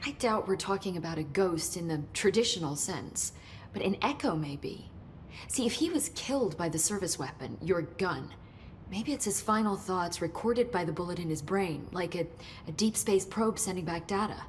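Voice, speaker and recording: A woman's voice: fairly low pitch, expressive, moderate pace, slight background noise, natural voice. A woman as a chatty, nerdy researcher. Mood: helpful. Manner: chatty, explanatory, nerdy enthusiasm. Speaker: research scientist guiding the hero.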